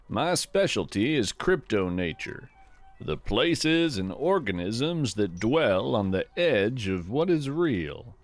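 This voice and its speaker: A man playing a gentle, folksy naturalist who hosts a scripted fiction podcast, musing poetically and with wonder about the woods. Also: medium pitch. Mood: reverent wonder. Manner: reflective, poetic, unhurried musing. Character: gentle, wonder-struck folksy naturalist narrating a woodland walk. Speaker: podcast host.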